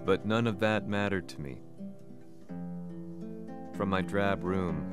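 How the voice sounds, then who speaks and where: An actor playing a weary war reporter who narrates his story in a somber, measured, reflective manner for a video game. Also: low voice.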